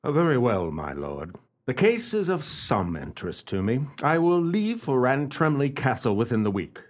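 A man playing a formal, self-assured gentleman detective in a radio play, speaking in a deliberate, theatrical way. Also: mid-range voice, wildly swooping pitch. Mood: courteous, businesslike. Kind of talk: radio play acting with first-person narration.

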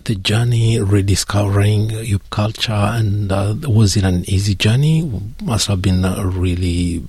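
A man hosts a radio interview, his voice low and slightly husky. The sound is dry and close to the mic. He pauses often and fills gaps with uh's while introducing his guest and asking questions. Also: a little mellow, no room sound. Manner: hesitant, frequent uh fillers. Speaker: radio host.

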